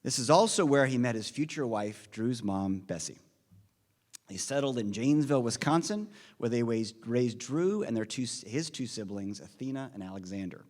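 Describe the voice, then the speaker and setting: A man giving an earnest, prepared introduction of a guest speaker at a conference forum, in a measured delivery. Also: mid-range voice, lively intonation, a little mellow. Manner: earnest, measured delivery, reads off names and figures. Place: large conference hall. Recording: long reverb of a large hall.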